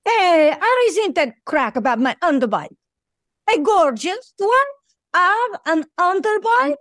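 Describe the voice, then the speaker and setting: A woman's voice: extremely high pitch, sing-song, measured pace, a bit bright, no room sound, clean studio sound, heavily acted. A woman playing an eager, sensitive little female show dog in an audio play, with theatrical delivery. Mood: indignant, anxious.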